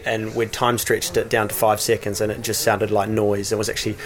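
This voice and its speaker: A man's voice: on the low side, a little fast. A man who produces radio promos, talking casually in an interview with frequent fillers and restarts. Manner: relaxed, hesitant, with many fillers and restarts.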